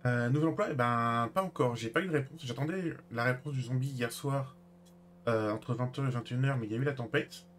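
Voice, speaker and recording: Hesitant man with steady background noise. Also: medium pitch, a little fast. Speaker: streamer playing a role-play character. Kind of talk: casual in-character game role-play.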